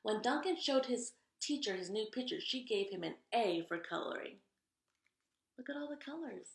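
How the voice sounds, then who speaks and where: A woman reads a picture book aloud in an echoey room. Her voice has a medium pitch with a noticeable creak. She is expressive and breaks off to chat directly with young listeners. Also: moderate pace. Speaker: read-aloud storyteller. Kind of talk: animated children's picture-book read-aloud with chatty asides.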